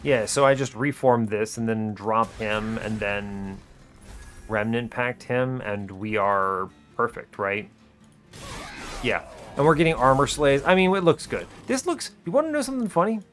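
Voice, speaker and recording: A man with an average-pitched voice hosts a gaming video. He thinks aloud in a casual way, asking himself questions and talking through each decision. Faint music plays behind his commentary. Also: unhurried, fairly bright tone.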